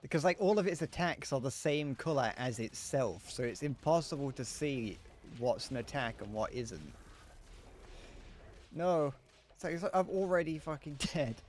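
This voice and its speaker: A man's voice: mid-range voice, lively intonation, normal speed, a little mellow, slight background noise. A young British man streaming a game, chatting casually in a slightly whiny voice, rambling and self-deprecating, swearing now and then. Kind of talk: casual live gameplay commentary.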